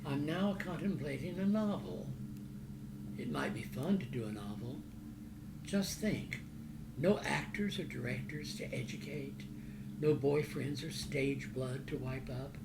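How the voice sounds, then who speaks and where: A man gives a theatrical author's reading with a slow, deliberate delivery. His voice sits a little high and sounds declamatory and dramatic. The recording has loud background noise. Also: echoey room. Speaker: author reading from own novel.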